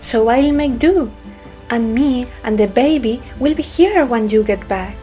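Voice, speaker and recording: A woman acting in a sci-fi audio drama as a resolute, stubborn pregnant pilot, speaking calmly and firmly. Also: a little high, faint music behind, natural voice. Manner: deliberate, firm.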